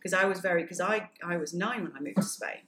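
A woman in a casual group chat, a mother telling stories quickly. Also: fairly low pitch, fairly bright tone, a little hiss or hum. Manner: quick, storytelling. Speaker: parent guest.

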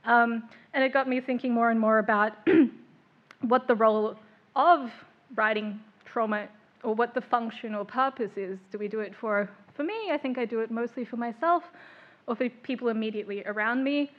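A woman's voice: a little high, moderate pace, highs cut off. A woman chairing a literary panel, introducing writers with frequent ums and small laughs.

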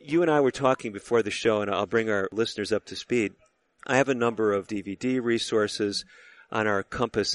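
A man hosts a radio interview show, his voice sitting a little low. He talks in a measured, conversational way, setting up questions and filling in background for his listeners.

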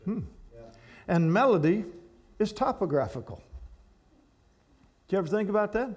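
A man preaching to a church congregation, animated and rambling, with frequent restarts. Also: sits high, moderate pace. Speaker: guest speaker at a church. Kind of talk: sermon to a congregation.

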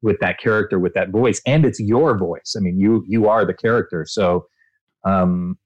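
A male voice actor being interviewed, telling expansive, animated anecdotes with frequent restarts. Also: deep voice, moderate pace, no room sound, dull audio. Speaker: voice actor guest. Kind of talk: interview answers, storytelling.

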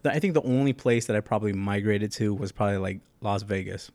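Male podcast host, relaxed and affirming, lower voice. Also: fast. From Southern California. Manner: easygoing, slangy, affirming. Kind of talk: casual interview chat.

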